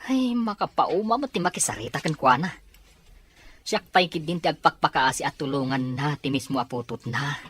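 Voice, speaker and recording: A man playing a caring, responsible and often frustrated younger brother with a husky voice and Filipino Ilocano accent in an audio drama. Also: on the high side, lively intonation, normal speed, a bit bright. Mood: pleading, frustrated.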